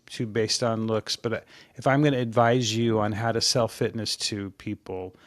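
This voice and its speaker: A man in his sixties, a podcast guest, talking in a relaxed, rambling way about his fitness habits, often restarting his sentences. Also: on the low side, moderate pace, no room sound. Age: 60s. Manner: rambling and reflective, with frequent restarts. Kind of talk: casual interview chat.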